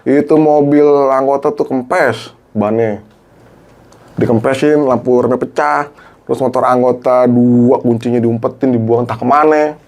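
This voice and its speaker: Man, middle-aged, casual storytelling, slangy, acts out dialogue, mid-pitched voice. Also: moderate pace. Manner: animated, acts out quoted dialogue, Jakarta slang. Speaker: guest, former TV cameraman.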